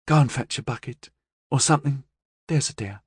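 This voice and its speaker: Guy doing a self-assured mob moll, higher lighter pitch, firm and coaxing, storybook drama, really dry sound. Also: normal speed, darkish tone, slightly rough, dry recording, close to an everyday voice. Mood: cool, firm. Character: cool, confident gangster's woman, firm and persuasive.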